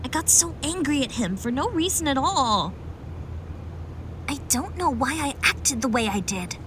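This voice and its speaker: A woman's voice: sits high, lively intonation, a little slow, noisy background. A woman playing a conflicted young heroine, speaking hesitantly and with feeling in an amateur audio drama. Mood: regretful.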